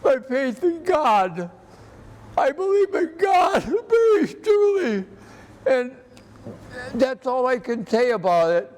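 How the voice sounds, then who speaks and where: An elderly American man in his eighties, an interview guest speaking earnestly and haltingly, often repeating himself. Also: very high-pitched, big pitch swings, a little mellow, shouting. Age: 80s. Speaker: interview guest, army veteran. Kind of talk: personal reminiscence in an interview. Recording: echoey hall with background noise.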